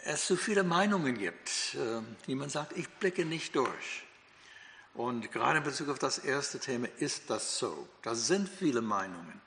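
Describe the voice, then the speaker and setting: A man's voice: medium pitch, sing-song, unhurried, bright, forceful tone, slight background noise. An older man from the United States with an American accent, a native English speaker teaching the Bible in German, hesitant with many fillers and restarts. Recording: long hall reverb.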